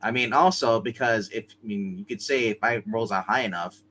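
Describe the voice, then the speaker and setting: A man's voice: on the low side, a little fast, slight background noise, rough recording. A man playing in a tabletop roleplay, chatting casually and jokily and throwing in his own sound effects. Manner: animated, jokey, adds vocal sound effects. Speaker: player in a tabletop roleplay game. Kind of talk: casual joking game chat.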